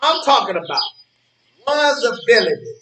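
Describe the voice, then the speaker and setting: A man playing a high-voiced, cranky old man. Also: very animated, normal speed, a crowd in the background. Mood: exasperated, explaining. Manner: stammering, repetitive, emphatic, argumentative. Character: cranky, worried old man bickering with his friend. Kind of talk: live stage play dialogue. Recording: roomy distant stage recording with ambient noise.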